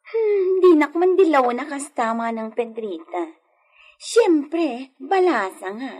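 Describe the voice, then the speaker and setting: A woman's voice: high voice, sing-song, slow, a little mellow, heavily acted. A woman with an Ilocano accent playing a vain, cheeky, coquettish young maid in a radio drama.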